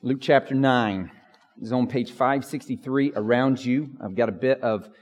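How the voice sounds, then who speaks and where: A man preaching as a pastor, with a hoarse voice and lots of fillers and restarts. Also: mid-range voice, normal speed. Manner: filler-heavy, restarts often. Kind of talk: prayer and sermon. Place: church sanctuary. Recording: long church reverb.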